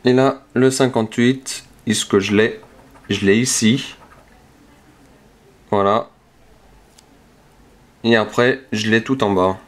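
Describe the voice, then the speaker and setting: A man calmly talks through each number as he scratches lottery tickets, casual and methodical. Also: fairly low pitch, takes it slowly, pauses often. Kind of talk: casual narration while scratching cards. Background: scratching sounds.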